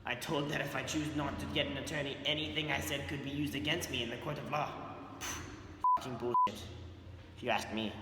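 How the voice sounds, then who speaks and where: A man's voice: medium pitch, fairly even intonation, brisk, very noisy, natural voice. A young man in a student video playing a streetwise ex-con drug dealer who swaggers and swears casually. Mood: gruff. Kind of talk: student amateur video acting. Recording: echoey room, far from the mic, with music.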